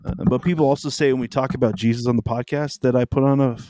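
A man's voice: mid-range voice, steady tone, fast, dark tone, no room sound. A man co-hosting a faith podcast, talking casually with rambling asides and jokes. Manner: rambling, joking, many fillers. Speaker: podcast co-host, pastor.

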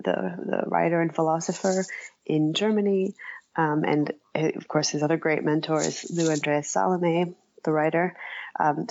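A woman giving thoughtful, halting, conversational answers as an interview guest, with a dull lo-fi recording. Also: low voice, creaky, a little mellow, no room sound. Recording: dull, lo-fi, dry audio.

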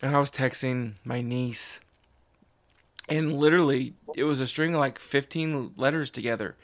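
A man's voice: medium pitch, moderate pace, dry recording. A man in his forties hosting a podcast in casual chat, rambling with restarts and wry asides. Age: 40s. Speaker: podcast host.

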